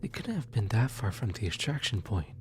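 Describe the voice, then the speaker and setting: A performer playing a gentle, awkward demon soul retriever in an ASMR audio roleplay, speaking softly and hesitantly, often close to a whisper. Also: medium pitch, sing-song, close to an everyday voice. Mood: uneasy muttering. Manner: hesitant, halting, sighing, muttering to self.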